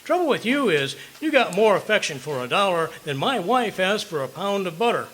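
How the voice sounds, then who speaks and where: A man's voice: high-pitched, sing-song, fairly quick, fairly bright tone, slight background noise. A man with an American accent playing a blustery, wisecracking husband full of tall tales in an old-time radio comedy, with folksy delivery. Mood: teasing.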